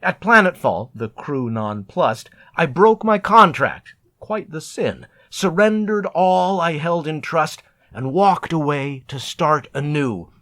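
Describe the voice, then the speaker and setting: A man reciting narrative poetry in a deliberate, measured, theatrical way, as a poet reading his own work. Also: on the high side, expressive, a bit bright.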